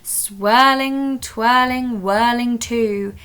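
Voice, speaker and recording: A woman's voice: on the high side, fairly even intonation, very slow, a bit bright, clear, resonant tone, voice pushed up. A woman voicing eager young schoolgirl witches in a storybook, earnest and sing-song. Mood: eager chanting.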